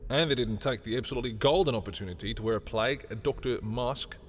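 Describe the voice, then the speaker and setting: A man's voice: medium pitch, quick pace, lightly acted. Man acting a sarcastic, petty villain over music. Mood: gossipy, teasing. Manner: sarcastic, theatrical, drawling taunts. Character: sardonic, petty male villain, grudgingly familiar with rival. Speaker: audio roleplay performer. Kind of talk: scripted audio roleplay acting.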